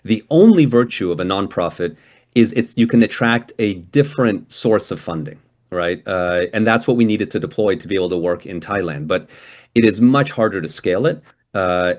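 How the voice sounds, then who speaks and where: A man from Hungary giving a guest lecture and answering questions over a video call, conversational, with frequent restarts and a habit of tagging "right?" onto his points. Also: sits low. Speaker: guest speaker.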